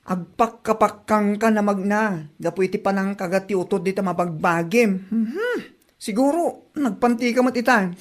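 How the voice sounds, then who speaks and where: A woman's voice: mid-range voice, moderate pace, lightly acted. A woman with an Ilocano Filipino accent playing a teasing, gossipy village friend in a comedy drama. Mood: teasing, chiding.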